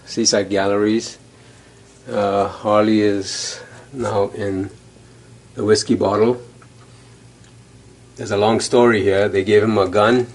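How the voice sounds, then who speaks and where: Lowish-voiced man from Trinidad, roomy sound. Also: a little mellow. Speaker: painter. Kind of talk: casual talk to camera about his work. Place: indoor gallery or studio. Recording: roomy, distant mic, faint noise.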